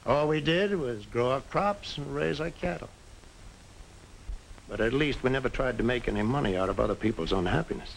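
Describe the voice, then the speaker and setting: An actor playing a sober, principled small-town man in a 1950s film drama, speaking earnestly and deliberately on an old soundtrack. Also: medium pitch, animated, creaky, slight background noise, rough recording. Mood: grave, earnest.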